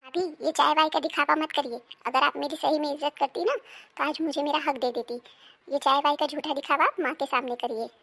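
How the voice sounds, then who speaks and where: A woman acting an emphatic, dramatic role in a Hindi animated family melodrama. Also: sits high, fairly quick, creaky. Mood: indignant, demanding. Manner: emphatic, dramatic, scolding and pleading delivery. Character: women of a quarrelling Indian family: daughter, mother, daughter-in-law.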